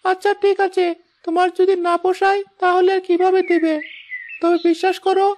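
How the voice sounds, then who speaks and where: A woman's voice: very high voice, flat delivery, fairly quick, darkish tone, a little hiss or hum. A woman voicing an earnest, dutiful schoolboy from a poor family in a cartoon moral story.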